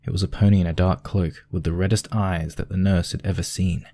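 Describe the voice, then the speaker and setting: A man's voice: low-pitched, fairly even intonation, a little fast, mellow, slight background noise. A man narrating a scripted piece of fiction as a storyteller.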